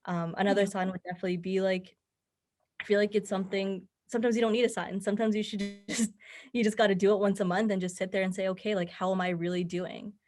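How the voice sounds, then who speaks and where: A woman's voice: medium pitch, flat delivery, fast, darkish tone. A young woman speaking as an interview guest, giving earnest, encouraging advice in a conversational way. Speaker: podcast guest, mental health advocate.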